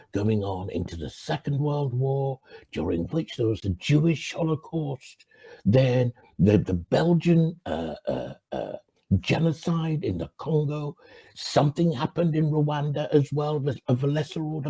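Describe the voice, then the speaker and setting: Middle-aged man from Ghana hosting a channel, earnest reflective monologue, deep fry and a very dark tone. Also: low-quality recording. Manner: earnest, swinging from emphatic rises to quiet low endings. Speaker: channel host.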